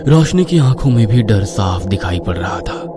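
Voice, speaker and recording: A man's voice: lowish voice, expressive, moderate pace, over loud music. A man narrating a horror story in a theatrical, suspenseful manner.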